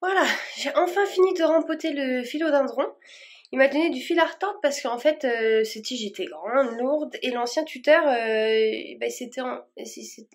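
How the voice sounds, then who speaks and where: A woman vlogging casually as she repots her plants, talking in a rambling way with plenty of fillers and restarts. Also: fairly high pitch. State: a bit warm and tired from the work.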